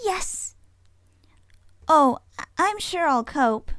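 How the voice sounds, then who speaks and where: A woman's voice: high-pitched, animated, drawn-out words, fairly bright tone, no echo, studio quality, exaggerated voice. A woman voicing an earnest, righteous young pony heroine who leads her friends, in a fan fiction audio drama. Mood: unsure.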